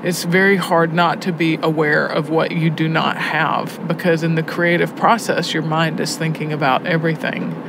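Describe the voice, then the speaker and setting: Gravelly, low-voiced woman over noisy ambience. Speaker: prison arts program educator. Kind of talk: earnest interview talk.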